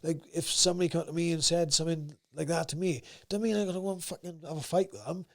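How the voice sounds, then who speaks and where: A young man with a South Wales valleys accent and a gruff voice, talking bluntly and swearing freely as a podcast guest. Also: on the high side, fast, fairly bright tone. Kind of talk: casual interview chat.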